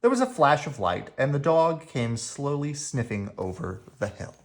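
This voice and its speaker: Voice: mid-range voice, lively intonation, moderate pace. A storyteller reading a children's picture book aloud in a dramatic, playful manner. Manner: dramatic, with playful sound words. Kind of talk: animated read-aloud storytelling.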